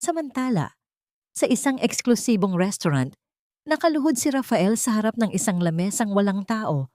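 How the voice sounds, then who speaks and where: A woman reading a dramatic love story as scripted narration, in a measured, steady way. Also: mid-range voice, dry recording, studio quality.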